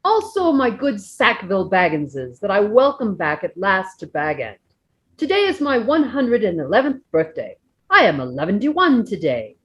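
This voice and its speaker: A woman voicing an elderly male hobbit making a jovial, deliberate birthday speech in a storybook reading. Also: medium pitch, big pitch swings, natural voice. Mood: jovial, grand. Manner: oratorical, deliberate.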